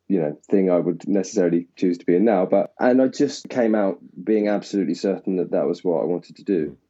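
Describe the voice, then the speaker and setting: Mellow low-voiced man from Essex, rambling. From England (Essex). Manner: rambling, self-deprecating, laughs often. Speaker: actor, interview guest. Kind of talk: casual interview conversation. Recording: dull lo-fi sound.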